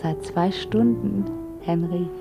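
A woman's voice: fairly low pitch, slow. Give me a wistful young woman in love, over music. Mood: tender, dreamy. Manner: tender, wistful, drifting into breathy whispers. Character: yearning melancholy 22-year-old female variety snake dancer in love. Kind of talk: intimate poetic radio play acting.

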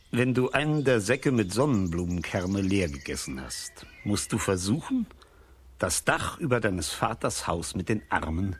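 A man playing a mysterious, solemn old wise man who foretells destiny in a children's radio play, speaking deliberately with a husky voice. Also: deep voice, slight background noise. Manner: slow, deliberate, expressive, solemn.

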